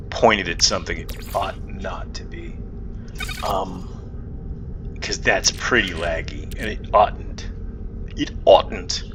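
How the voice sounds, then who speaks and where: Low-voiced man rambling over loud background noise. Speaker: gaming video host. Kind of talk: casual rambling gameplay commentary. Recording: loud steady background noise, rumbling hum.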